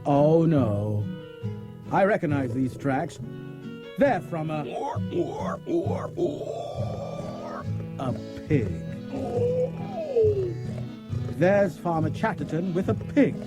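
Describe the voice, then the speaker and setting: Exasperated farmer, swooping expressive voice. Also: male voice, on the high side, measured pace. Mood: resigned. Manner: expressive, indignant. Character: exasperated older male farmer with a vegetable garden. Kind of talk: dramatised children's audiobook. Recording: cassette audiobook with music behind.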